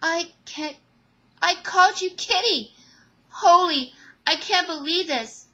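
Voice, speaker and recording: A woman voicing an excitable, confident teenage girl in a fan audio drama, with gushing, emphatic delivery. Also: extremely high pitch, slow, voice pushed up, heavily acted. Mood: shocked.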